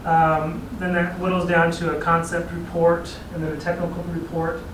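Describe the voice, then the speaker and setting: A man's voice: fairly high pitch, completely flat delivery, moderate pace. A man from a regional planning agency, briefing a city council in an easygoing, explanatory way. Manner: explanatory, easygoing, chuckles at own asides. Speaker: regional transportation planning staff presenter. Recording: echoey chamber, distant mic, clear background noise.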